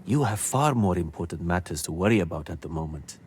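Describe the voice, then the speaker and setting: A solemn keeper of secrets. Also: male voice, deep voice, expressive, fairly quick, a little mellow, a little hiss or hum. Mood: grave, earnest. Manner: formal, old-fashioned speech. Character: male keeper of ancient secrets, solemn and formal. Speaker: game character. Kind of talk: video game cutscene voice acting.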